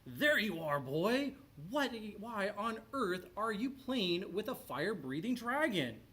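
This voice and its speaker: Proud storybook knight father, acted by a man: pompous, blustering, raised pitch. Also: very animated, takes it slowly, pushed and bright, heavily acted. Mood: scornful.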